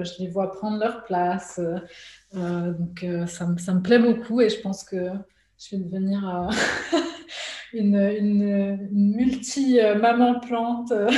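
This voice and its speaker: A woman from Switzerland, talking as a guest in a relaxed interview. Her speech is hesitant and full of fillers, and she laughs often as she thinks aloud. She was recorded at home in an echoey room. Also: medium pitch. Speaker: guest, artist and illustrator. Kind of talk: casual conversational interview. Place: at home during lockdown.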